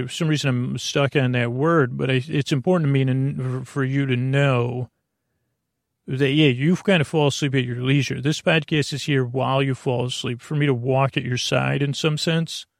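Male sleep-podcast host: fry-heavy voice, halting, wandering casual chat, very dry close recording. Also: medium pitch, no echo. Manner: meandering, halting, trails off and goes off topic. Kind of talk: rambling casual monologue to help listeners fall asleep.

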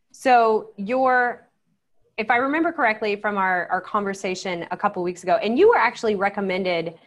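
A woman hosting a podcast, talking in an informal, upbeat, conversational way. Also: mid-range voice. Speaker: podcast host. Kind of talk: casual conversational interviewing.

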